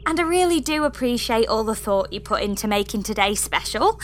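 A woman's voice: a little high, animated, fairly quick, slight background noise, lightly acted. A woman playing a sweet, upbeat space engineer with a theatrical manner in a comedy sci-fi audio drama. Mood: appreciative.

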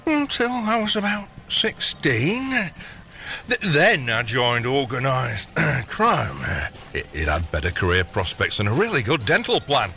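Stammering, flustered gangster dad, wildly swooping voice. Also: male voice, mid-range voice, sing-song, a little slow, creaky, sharp tone, slight background noise, heavily acted. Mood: flustered, evasive. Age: middle-aged. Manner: hesitant, flustered, placating. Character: bumbling, evasive long-absent dad turned gangster boss. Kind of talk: audio comedy drama acting.

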